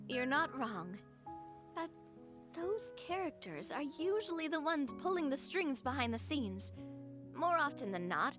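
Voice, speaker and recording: An actress playing a lively, confident young woman leader who speaks in an animated, teasing way. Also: high-pitched. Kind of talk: video game voice acting. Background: game music.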